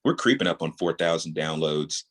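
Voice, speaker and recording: Low-pitched man explaining his craft. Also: lively intonation, moderate pace, fairly bright tone. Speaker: audio drama creator, podcast guest. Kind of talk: casual interview talk, explaining his craft.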